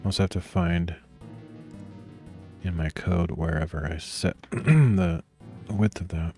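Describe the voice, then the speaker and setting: Male streamer, very low and grainy, soft-spoken, rambling think-aloud delivery with frequent throat clearing. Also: slow pace, soft background music. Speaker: streamer coding live. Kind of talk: casual thinking aloud while coding.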